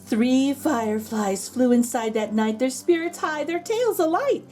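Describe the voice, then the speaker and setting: A woman narrates a picture book for children in a high, soft-toned mellow voice. She reads at a slow, measured pace with expressive, rhythmic phrasing. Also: faint music behind. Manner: measured rhyming delivery with gentle asides to children. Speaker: children's read-aloud storyteller.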